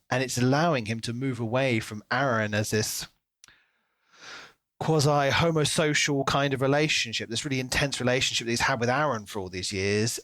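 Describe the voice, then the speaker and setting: A man with a mid-pitched voice in casual podcast discussion. He talks things through discursively, with conversational asides, and the sound is close and dry. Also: fairly bright tone, dry recording. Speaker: podcast co-host discussing books. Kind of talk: casual analytical chat.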